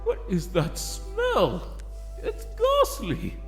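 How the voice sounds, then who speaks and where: High, swooping pompous royal chamberlain pony. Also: male voice, huge pitch swings, extremely slow, full character voice. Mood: disgusted, urgent. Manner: formal, stately, theatrical. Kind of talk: dramatic fan fiction reading. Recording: long hall reverb over music.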